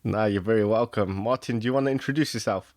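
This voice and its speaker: A man hosting a travel podcast, asking questions in a relaxed way and chiming in with short replies. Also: on the low side, fast, dry recording. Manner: relaxed, brief encouraging replies. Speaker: podcast host. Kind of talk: casual interview hosting.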